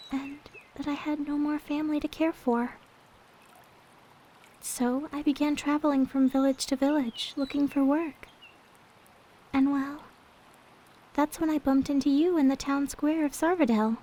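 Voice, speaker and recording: Girl voice actor doing a sweet devoted maid, really high soft voice, polite and tender, asmr roleplay style. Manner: polite, tender, demure storytelling. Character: gentle, devoted young maid companion with a sad past. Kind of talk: ASMR roleplay, soft intimate character acting.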